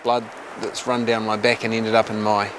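A man hosting a fishing show outdoors, joking and laughing in a cheeky, excitable way. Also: fairly low pitch, normal speed, background noise. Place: outdoors in bush and hills. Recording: outdoor clip with loud music over it.

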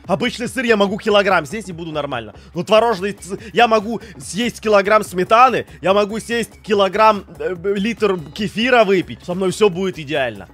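A young man with a stuffy, nasal voice, chatting animatedly to his stream chat from a home setup on a boom mic. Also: moderate pace, hardly any pauses, faint music behind. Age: young adult. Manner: animated, gestures a lot, swears casually. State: stuffy nose. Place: small home streaming room. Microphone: studio mic on boom arm.

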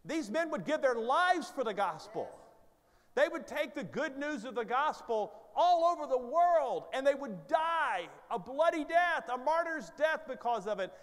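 A man's voice: extremely high pitch, big pitch swings, measured pace. A man in his fifties preaching a sermon on a church stage with a headset mic, moving from conversational storytelling to urgent exhortation as he paces and gestures. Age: 50s. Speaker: pastor. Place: large church stage with hall reverb. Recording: hall reverb from large sanctuary.

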